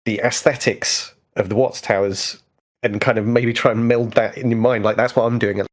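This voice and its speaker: Medium-pitched man musing in casual chat. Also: fairly even intonation, moderate pace. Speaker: podcast co-host.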